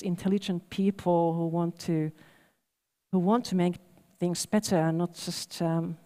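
A woman's voice: fairly low pitch, warm tone. A woman, speaking reflectively on a panel with many restarts. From Finland. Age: 40s. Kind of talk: panel discussion. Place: large event hall on stage. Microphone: headset mic. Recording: long hall reverb.

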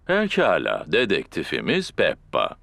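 A man's voice: deep voice, huge pitch swings, measured pace, gravelly, a bit bright, no room sound, heavily acted. A man voicing a big, jovial, encouraging father pig in a children's cartoon dub, hearty and playfully important.

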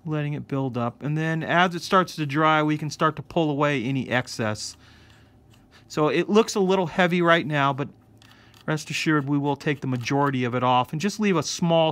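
A man hosting a casual scale-model painting tutorial, explaining each step in a relaxed way. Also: fairly high pitch. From United States. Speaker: hobby shop host. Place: hobby workbench indoors.

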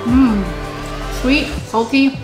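A woman's voice: high voice, fairly even intonation, extremely slow, echoey room, music in the background, low-quality recording. Upbeat woman hosting a kitchen vlog. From Hawaii. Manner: upbeat, talks to viewers directly. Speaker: cooking channel host. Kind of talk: casual cooking vlog chat. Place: large home kitchen.

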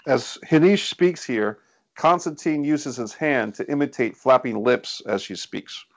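A man's voice: mid-range voice, a little slow. A man reading scripted stage directions as narrator of a screenplay table read. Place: indoors on a group video call.

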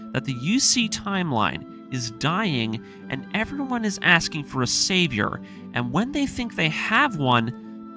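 A man with a slightly high voice narrates over clear background music. His delivery is lively and varied in pitch, earnest and emphatic, like scripted commentary on a story. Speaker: narrator of an anime story breakdown series.

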